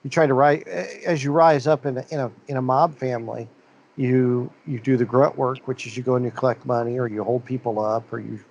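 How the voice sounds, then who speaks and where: A man's voice: medium pitch, steady tone, a little fast, a little mellow, lo-fi sound. Rambling middle-aged man, casual podcast chat. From American Midwest. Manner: rambling, many restarts and fillers. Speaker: podcast co-host.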